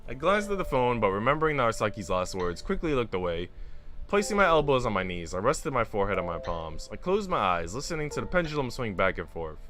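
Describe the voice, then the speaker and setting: Male streamer, casual and slangy, very lively pitch, bright forceful voice, reads story text aloud, faint music behind. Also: quick pace. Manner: slangy, animated storytelling in asides. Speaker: streamer reading a visual novel aloud to viewers. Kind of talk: casual livestream chat mixed with read-aloud narration.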